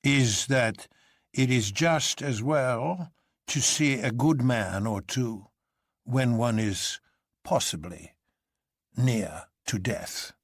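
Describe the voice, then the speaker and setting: A man voicing a sober, courteous elderly French colonel in a measured, formal and deliberate manner. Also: medium pitch, no echo, studio quality.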